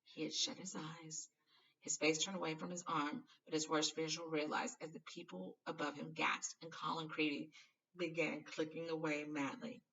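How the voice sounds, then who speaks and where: A woman's voice: low voice, flat delivery, normal speed, fairly bright tone, far from the mic, muffled recording. A woman reading a children's novel aloud expressively, sometimes stumbling and correcting herself. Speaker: storybook reader.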